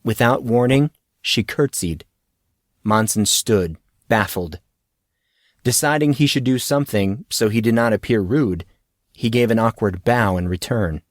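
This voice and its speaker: A man's voice: on the low side, measured pace, no echo, studio quality. A man reading scripted fiction as an audiobook narrator.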